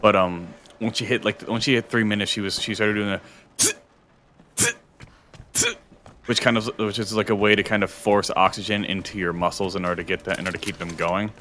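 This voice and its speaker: Low, bright-voiced male streamer. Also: steady tone, a little fast, slight background noise. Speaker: streamer and fitness coach. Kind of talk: casual chat on a game stream.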